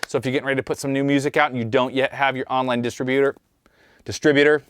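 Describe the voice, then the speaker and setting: A man's voice: medium pitch, level tone, racing, a bit bright, slight background noise. A man from Kansas, the host and drummer, giving an easygoing, conversational walkthrough of how he mixed a track in his studio. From Kansas, USA. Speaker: host, mixing engineer and drummer. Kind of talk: casual studio tutorial. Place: recording studio control room.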